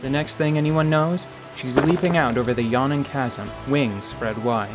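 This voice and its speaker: A man narrating scripted fantasy in a measured storytelling manner. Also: medium pitch, over loud music.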